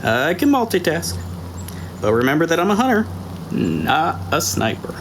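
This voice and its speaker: A man's voice: on the high side, sing-song, moderate pace, heavy background noise, pitched above the performer's own voice. A man voicing a wry male hunter keeping lookout with dry humor.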